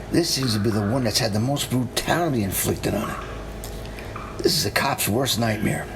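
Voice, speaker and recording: A man playing a troubled, weary police detective in an audio drama, speaking gravely and plainly. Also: mid-range voice, noisy background.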